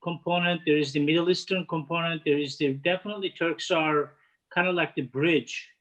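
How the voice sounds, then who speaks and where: A man in his fifties from Turkey, speaking with a Turkish accent, answering interview questions in halting speech full of "uh" fillers and restarts. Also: on the high side, steady tone, fairly bright tone. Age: 50s. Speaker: guest, head of catering. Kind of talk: casual interview chat.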